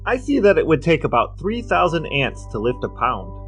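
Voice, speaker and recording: A man's voice: fairly high pitch, sing-song, normal speed, a bit bright, faint music behind. A man co-hosting a podcast, relaying researched facts in a dry, matter-of-fact way. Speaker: podcast co-host. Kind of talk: informative podcast talk, reading out researched facts.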